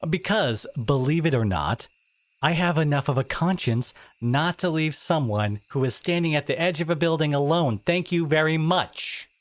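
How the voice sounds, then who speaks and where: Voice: medium pitch, moderate pace, no room sound, close to an everyday voice. An actor playing a brash teenage school bully who secretly cares, in an exasperated, confrontational audio drama monologue addressed to the listener. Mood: indignant, defensive. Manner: exasperated, confrontational, sighing, emphatic.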